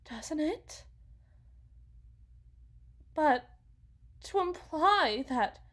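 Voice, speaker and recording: Woman acting a high-voiced, slow, anguished mother. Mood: indignant, hurt. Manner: halting, dramatic, confessional. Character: anguished, guilt-ridden mother confiding in a friend. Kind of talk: ASMR roleplay monologue.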